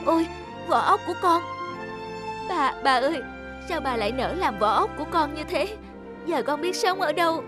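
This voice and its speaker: High-voiced, gentle fairy girl. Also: expressive, moderate pace, pauses often, over music. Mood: distressed. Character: gentle, dutiful young snail-shell fairy girl. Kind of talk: cartoon folk-tale voice acting.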